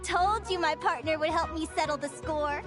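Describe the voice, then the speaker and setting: Eager, very high-voiced young traveler. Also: female voice, normal speed. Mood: excited. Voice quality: energetic, eager, cheering. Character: excitable young female traveler cheering on her partner. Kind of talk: video game voice acting. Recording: game audio with music underneath.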